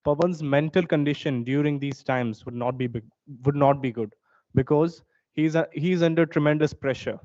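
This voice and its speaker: A young man with an Indian accent, a student giving a steady, formal competition speech with a few fillers. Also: on the high side, moderate pace, dry recording. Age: young adult. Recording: dull dry lo-fi recording.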